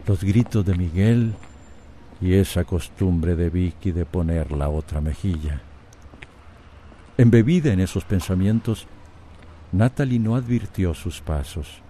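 A man's voice: low voice, a little slow, warm tone, a little hiss or hum. A man narrating a radio serial as a grave, reflective storyteller. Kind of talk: scripted dramatic narration.

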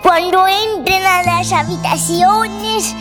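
Someone playing a slow, high-pitched sinister ghost. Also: music in the background. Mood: menacing. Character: sinister ghostly voice from a radio, menacing children. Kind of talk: children's radio play.

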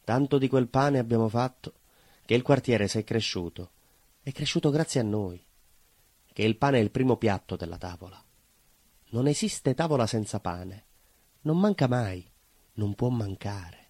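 An actor playing a simple, proud working-class baker in a stage monologue, earnest and conversational, with a southern Italian accent. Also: male voice, mid-range voice, animated, moderate pace, long pauses, a little mellow, slightly rough, dry recording. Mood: proud, solemn. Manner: naive, earnest, emphatic, conversational. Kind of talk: theatrical monologue, colloquial storytelling.

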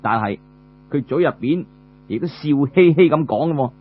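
A man tells a traditional story in Cantonese-accented speech. His pitch rises and falls with great animation and his tone is rough around the edges. The recording is muffled, with a mains hum. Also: animated, moderate pace.

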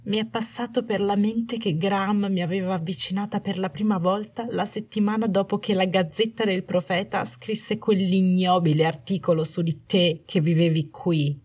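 A woman's voice: medium pitch, fairly even intonation, moderate pace, hardly any pauses, darkish tone, slightly rough, voice pushed up, natural voice. A woman narrator voicing a bitter young wife in an audiobook story, menacing and manic with jealousy, snarling and trembling with cold fury. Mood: bitter, suspicious. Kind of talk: dramatised audiobook dialogue.

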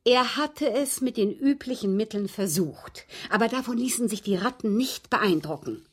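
Genteel, breathy old widow, slyly composed. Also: female voice, fairly high pitch. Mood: matter-of-fact, sly. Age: older. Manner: precise, ladylike, unhurried, evasive. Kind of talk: German radio drama acting.

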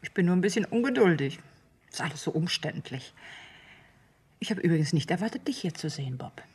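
An actress playing a wry, questioning middle-aged bride-to-be in a radio drama, understated and probing. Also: female voice, lowish voice, expressive, a little fast, darkish tone, breathy edge. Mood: impatient.

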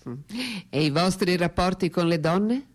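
An actress playing an attentive female interviewer in a radio drama, with deliberate, questioning delivery. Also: lowish voice, natural voice. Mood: curious.

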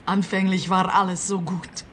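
A woman's voice: on the low side, level tone, normal speed, a bit bright, slight background noise, lightly acted. An actress playing an embittered, distraught woman who dramatically airs her grievances in a radio play. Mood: aggrieved. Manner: dramatic, aggrieved. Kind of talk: comic radio play acting.

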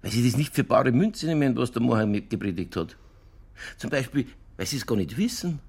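An actor playing a stubborn, provocative Bavarian police detective in a German radio crime drama, holding forth in colloquial dialect with a husky, gravelly voice. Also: male voice, mid-range voice, lively intonation, fairly quick, darkish tone. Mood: opinionated.

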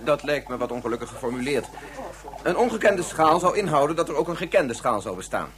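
A man's voice: a little high, big pitch swings, fairly quick, fairly bright tone. A man playing a precise, pedantic male scientist in a science fiction radio drama. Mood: pedantic, formal. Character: male scientist Yukio, pedantic lecturer turning distraught father. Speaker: actor in a radio play. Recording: noisy radio play recording with hum.

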